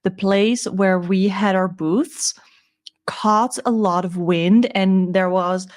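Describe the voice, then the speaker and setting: A woman with a croaky voice giving a casual, chatty recap as a vlog host, informal and a little hesitant as she thinks aloud. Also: mid-range voice, steady tone, takes it slowly. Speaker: crochet seller and video host.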